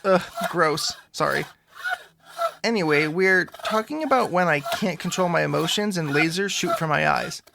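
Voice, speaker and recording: A man playing an anxious, awkward young man in an audio drama, sheepish and rambling with deadpan jokes and a creaky voice. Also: on the high side, normal speed, noisy background. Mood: joking, rambling. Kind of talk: audio drama dialogue, conversational.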